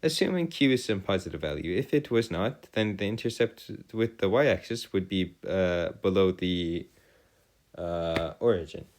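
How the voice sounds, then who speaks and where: A man tutoring a math and physics lesson in formal, old-fashioned wording, often halting and correcting himself. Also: low-pitched, animated.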